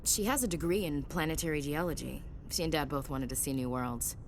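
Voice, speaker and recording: Game role: down-to-earth female soldier, notably low pitch, frank informal talk, faint rumble behind. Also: brisk. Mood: fond, open. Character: tough, candid female soldier, protective big sister. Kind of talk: naturalistic video game dialogue acting.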